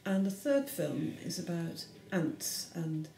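A woman in her forties, an artist, describing her short films haltingly, with many pauses and fillers. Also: fairly low pitch, expressive, vocal fry, mellow, a little hiss or hum. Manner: hesitant, many ums. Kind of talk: casual explanation of her films. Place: room with bare block walls. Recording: echoey room.

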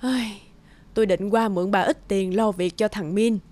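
A woman playing a harried, indulgent and easily exasperated mother in an animated cartoon. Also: medium pitch, moderate pace, no echo, lightly acted. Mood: anxious. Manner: fretful, exasperated. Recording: background music under the dialogue.

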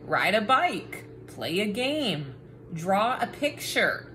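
A woman's voice: medium pitch, sing-song, slow, bright, forceful tone, soft background music, exaggerated voice. A woman voicing a grumpy, dramatic talking book. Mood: cranky, exasperated. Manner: bossy, dramatic exclamations. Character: cranky, grumpy talking picture book, bossy and easily exasperated. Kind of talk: children's picture book read-aloud.

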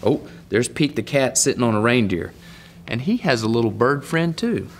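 A man's voice: medium pitch, lively intonation, normal speed. Middle-aged man reading aloud, noisy room. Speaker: school superintendent reading a picture book. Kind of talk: children's read-aloud with asides. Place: indoor room set up as a reading corner.

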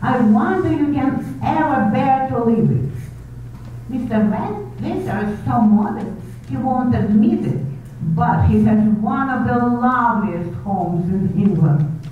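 Radio-play casting: woman as imperious older society snob, high animated voice, gushing theatrically, captured far off in an echoey hall. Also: a little slow, narrow, tinny audio, slight background noise. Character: gushing, bossy, snobbish older society lady. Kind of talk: 1930s radio drama acting.

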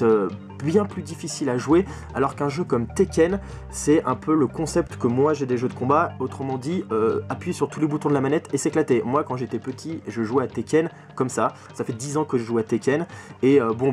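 A man giving a casual video game review, opinionated and emphatic, often repeating words and swearing. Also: medium pitch. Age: 20s. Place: small bedroom at home, indoors.

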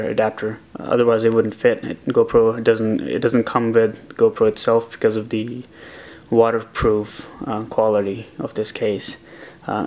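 A young man casually showing his helmet gear in a home office, rambling with lots of uh and um fillers. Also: on the low side. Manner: relaxed, rambling with many uh and um fillers. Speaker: motovlogger. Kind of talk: casual vlog, gear walkthrough. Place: small home office, indoors.

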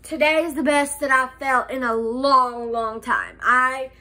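A young woman recovering from a cold, talking slowly with a nasal voice and hesitating often in a casual vlog recorded close to a phone camera indoors. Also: sits high, pushed and bright. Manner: slow, halting, many "um"s and restarts. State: nasal from a cold, slight cough. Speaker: vlogger. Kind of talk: casual life update vlog. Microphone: phone camera held close.

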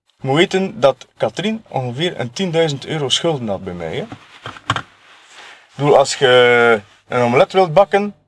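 An actor with a Flemish accent playing a sleazy, cocky photographer and dealer who taunts in colloquial, smug speech. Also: male voice, mid-range voice, sing-song, measured pace, a little hiss or hum. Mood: smug, taunting. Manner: colloquial, taunting, tag questions, chuckling self-justification. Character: sleazy, cocky male photographer and drug dealer, smugly mocking. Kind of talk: audio murder-mystery game acting.

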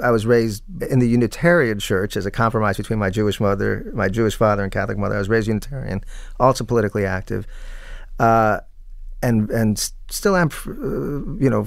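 A mature man in a reflective interview. His voice is low with a lot of fry, and the sound is close and dry. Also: dry recording. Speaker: playwright, interview guest.

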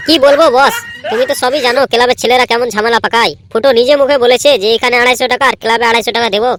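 A performer voicing a quarrelsome, excitable young lad in a comedy cartoon, arguing. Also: medium pitch, very fast, a little hiss or hum, natural voice. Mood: scheming. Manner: argumentative, excitable. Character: scrappy, quarrelsome young gang and club lads. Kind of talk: Bangla comedy cartoon dubbing.

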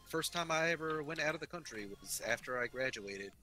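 Young adult male, bright forceful tone, casual gaming chat and anecdotes, music playing behind. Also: medium pitch, lively intonation, a little fast. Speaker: player in an online game session.

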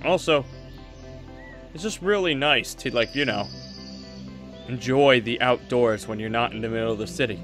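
A man playing an easygoing but firm father, a veteran exorcist in a Minecraft roleplay, talking in a relaxed, reassuring way. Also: mid-range voice, moderate pace, long pauses, faint music behind, natural voice. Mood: calm, fatherly. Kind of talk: improvised Minecraft anime roleplay.

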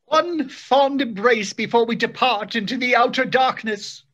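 A woman's voice: a little high, a little slow, fairly bright tone, lo-fi sound. A woman playing a lovestruck, foolish male stage hero with overblown theatrical delivery in an old-style radio play. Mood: gallant, lovestruck. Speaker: actor. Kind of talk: 1930s radio acting.

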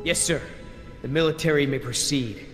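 An actor playing a ruthless, cocky military mercenary in a video game, curt and commanding. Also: male voice, a little high, normal speed, a bit bright, soft background music, close to an everyday voice. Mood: brisk, formal. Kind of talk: dramatic video game acting.

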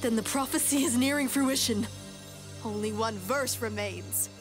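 A woman as a businesslike fantasy adventurer, rather high voice, firm, music behind. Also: unhurried, close to an everyday voice. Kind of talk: fantasy audio drama acting.

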